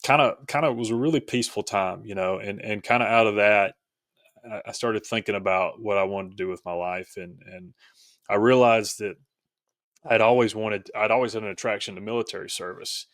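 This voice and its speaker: A man's voice: lowish voice, fairly quick, fairly bright tone, dry recording, studio quality. A man speaking as a podcast guest, telling a personal story casually in a reflective, somewhat hesitant way.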